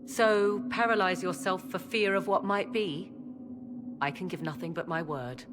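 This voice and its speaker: A woman playing a proud, eager sorceress scholar in a fantasy game, speaking with formal, measured, theatrical diction. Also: lowish voice, over music, lightly acted. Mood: proudly insistent. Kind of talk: dramatic fantasy game acting.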